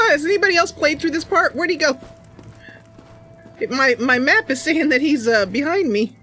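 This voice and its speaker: A woman hosts casual gameplay commentary in a playful, giggly manner. Her voice is highly animated, with game music behind her. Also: normal speed. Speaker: gaming channel host.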